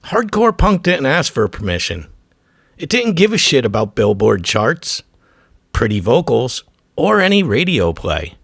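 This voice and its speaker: A man delivers scripted documentary narration in a gravelly, measured voice. His pitch moves around in an animated way as he hits short, punchy, profane phrases. The sound is dry. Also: dry recording.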